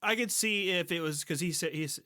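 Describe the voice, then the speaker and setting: A man's voice: sits high, fairly quick, pushed and bright, dry recording, studio quality. A man in a casual podcast conversation, thinking aloud informally as co-host.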